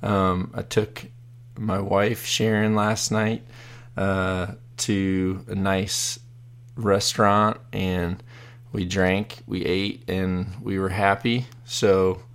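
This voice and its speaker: Hoarse man hosting a casual podcast. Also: sits low, little pitch movement, drawn-out words. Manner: rambling, many fillers and restarts. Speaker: podcast host. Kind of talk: casual live podcast chat.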